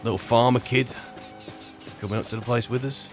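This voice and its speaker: A man's voice: medium pitch, fairly even intonation, a little fast, music in the background. A man hosting a gaming video, improvising roleplay commentary in a wry, musing and rambling manner.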